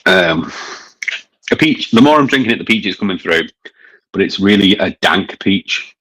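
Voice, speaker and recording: A man's voice: low voice, expressive, moderate pace, a bit bright, breathy edge, poor audio. A man with a mild northern English accent, chatting casually while reviewing beer, with many fillers and hesitations. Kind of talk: casual chat.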